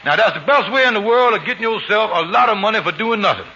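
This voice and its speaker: A slick, fast-talking con man in an old radio comedy, coaxing and persuading in a high, very bright, edgy voice. The recording is dull and old with a faint hiss. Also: lively intonation, exaggerated voice. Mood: scheming. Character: smooth-talking scheming male con man. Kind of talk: 1940s radio comedy acting.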